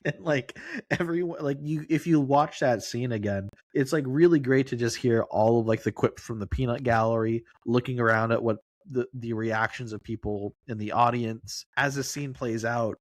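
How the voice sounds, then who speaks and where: A man's voice: mid-range voice, fairly even intonation, moderate pace, no room sound. A man co-hosting a film podcast, talking casually and circling his way through his explanations.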